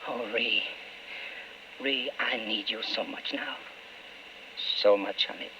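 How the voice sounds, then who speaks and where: An American actor playing a brash, ambitious, folksy candidate and doting husband in an old-time radio drama. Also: male voice, lowish voice, steady tone, slow, noisy background. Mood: tender, jovial. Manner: folksy, boastful, eager, calls everyone honey. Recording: muffled vintage radio recording with music.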